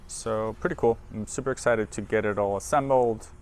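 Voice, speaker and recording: Low, gravelly man giving casual demo. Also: a little fast, a little mellow. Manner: informal, thinking aloud while handling machine. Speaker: audio dealer presenting equipment. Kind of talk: casual hands-on equipment demo.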